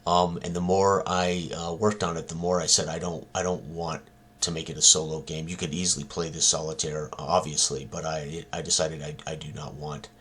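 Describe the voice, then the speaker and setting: Very deep, husky-voiced man, halting talk. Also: level tone, a bit bright. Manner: halting, frequent long hesitations. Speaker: tabletop game designer and presenter. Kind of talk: informal rambling product talk.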